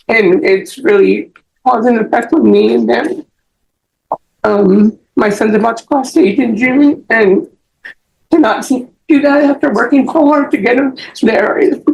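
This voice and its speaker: A middle-aged American woman giving testimony as a defendant in court, rambling and halting as she repeats herself. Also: on the high side, vocal fry, a little mellow, poor audio. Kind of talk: spoken testimony answering questions.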